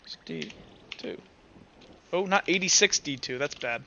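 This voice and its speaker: A man running a tabletop game as game master, narrating casually with halting, informal, sweary improvisation. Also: fairly high pitch, very animated, pushed and bright, muffled recording, slight background noise.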